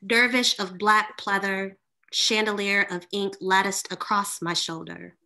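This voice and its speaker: A woman's voice: mid-range voice, level tone, measured pace, pushed and bright. A woman in her thirties giving a poetry reading with casual asides, recorded at home over a video call. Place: room at home with bookshelves, indoors.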